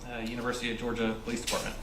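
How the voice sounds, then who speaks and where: A man in his twenties, a police officer, giving brief, quiet answers as a witness in court. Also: medium pitch, monotone, rapid-fire. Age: 20s. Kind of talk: courtroom testimony. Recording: echoey courtroom, distant mic, noisy.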